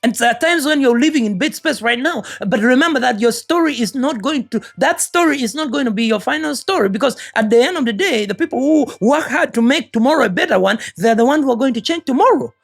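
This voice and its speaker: A woman's voice: high-pitched, lively intonation, quick pace, vocal fry, few pauses. A woman from Uganda with a Ugandan accent, giving an earnest, preachy motivational talk and often repeating words as she searches for the next one. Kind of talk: motivational monologue.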